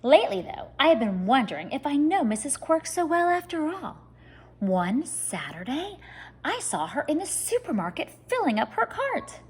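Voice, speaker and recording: A woman reading a children's story aloud like a teacher, expressive and speaking straight to young listeners. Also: high voice, moderate pace, slight background noise. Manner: expressive, addressing children directly.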